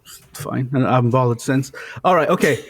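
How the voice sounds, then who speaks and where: A man in his late thirties hosts a casual podcast with a mid-range voice. He is chatty and quick to agree with his guests. His talk stays loose and conversational. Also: mid-range voice. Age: 30s. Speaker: podcast host. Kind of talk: casual chat.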